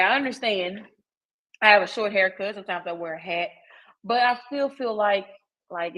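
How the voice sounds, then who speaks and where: A woman's voice: on the low side, fairly bright tone, low-quality recording. A woman hosting a podcast, talking in a chatty, frank way with laughs and filler words. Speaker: podcast host. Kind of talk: casual podcast chat.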